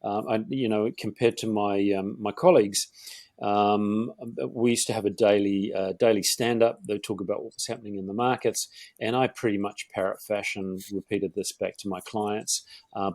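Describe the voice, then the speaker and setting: A middle-aged man from Northeast England with a Geordie accent softened by years in New Zealand, a podcast guest who talks in a rambling way with many fillers and restarts. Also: on the low side, steady tone, moderate pace, no echo. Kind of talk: conversational interview.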